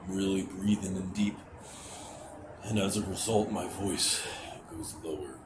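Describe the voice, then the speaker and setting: A young man with a very low, deep voice talks straight to his camera, hesitant and rambling. He sounds anxious and sighs often, with casual swearing. A steady hiss sits under the recording. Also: measured pace. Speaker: vlogger. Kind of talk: candid venting to camera. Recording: noticeable background hiss.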